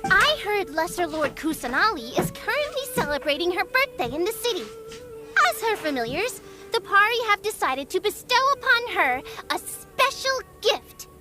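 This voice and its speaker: A very high, animated fairy guardian acted by a woman declaims like a haughty little warrior. The fan-dubbed game lines are proud and fierce, with music clearly playing behind. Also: normal speed. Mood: proud, formal. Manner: haughty, grandiose, old-fashioned declaiming. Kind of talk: fan dub of a fantasy game.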